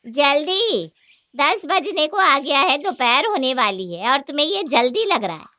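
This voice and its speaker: Woman acting a shrill, nagging mother-in-law. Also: very animated, unhurried, sharp tone, no room sound, exaggerated voice. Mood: scolding. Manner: nagging, sarcastic. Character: scolding, exasperated mother-in-law of a modest household. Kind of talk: Hindi cartoon story acting.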